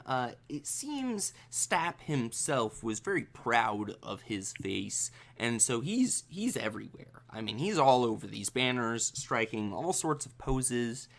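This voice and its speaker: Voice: medium pitch, very animated, takes it slowly, few pauses. A game master narrating an improvised tabletop game in a conversational, hesitant way.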